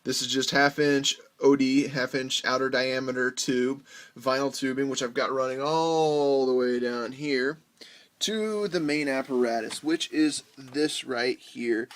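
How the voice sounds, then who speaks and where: A man with an American accent hosting a homemade gear demonstration in casual, unscripted talk, halting and thinking aloud as he explains. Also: mid-range voice, fairly bright tone. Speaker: host of a DIY music gear video.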